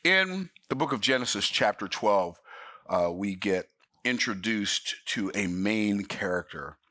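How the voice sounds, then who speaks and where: A man's voice: lowish voice, expressive, measured pace, pushed and bright, no echo. A man in his sixties teaching the Bible in an emphatic, folksy preaching manner. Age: 60s. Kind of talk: sermon-style Bible study.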